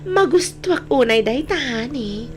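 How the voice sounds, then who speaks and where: A woman's voice: high-pitched, lively intonation, measured pace. A woman with a Filipino accent playing a giddy mistress with her lover in an audio drama. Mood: flirty, gleeful. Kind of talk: Ilocano audio drama acting.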